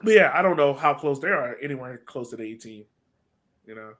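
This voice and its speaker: A man hosting a soccer podcast in casual chat, informal and rambling as he thinks out loud. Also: mid-range voice, lively intonation, brisk.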